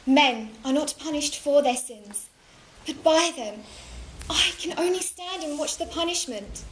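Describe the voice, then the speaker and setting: A woman's voice: high-pitched, expressive, a little hiss or hum. A woman playing a solemn moral voice who proclaims warnings about sin. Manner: slow, grave, proclaiming. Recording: roomy echo.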